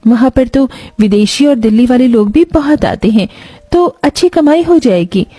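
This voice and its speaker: A kind young daughter-in-law in a cartoon moral tale, played by a woman. She is polite and reassuring, with a slightly high, mellow voice. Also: lively intonation, moderate pace, slightly rough, dry recording. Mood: hopeful, polite;. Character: kind, diligent young daughter-in-law running a noodle stall.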